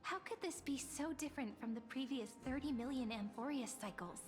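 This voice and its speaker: Woman as a high, composed companion in reverberant space. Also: a little fast, close to an everyday voice. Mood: thoughtful, concerned. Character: calm, gentle, thoughtful female companion and guide. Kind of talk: video game voice acting. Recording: long hall reverb over game music.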